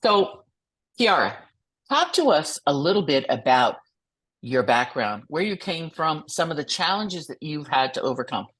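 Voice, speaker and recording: A woman's voice: low-pitched, sing-song, moderate pace, fairly bright tone, breathy edge. A woman hosting a motivational podcast as a coach, enthusiastic and effusive, who sometimes stumbles over words while reading.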